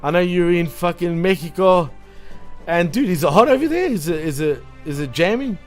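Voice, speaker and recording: A man's voice: sits high, lively intonation, normal speed, faint music behind. Swearing, laughing male co-host. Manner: laughing, swearing, loose and joking. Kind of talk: casual banter on a livestream awards show.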